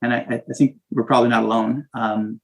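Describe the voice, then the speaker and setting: Gravelly, halting male minister. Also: medium pitch, flat delivery, a little mellow, highs cut off. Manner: halting, many fillers and restarts, searching for words. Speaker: minister and saxophonist guest. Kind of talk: casual interview conversation.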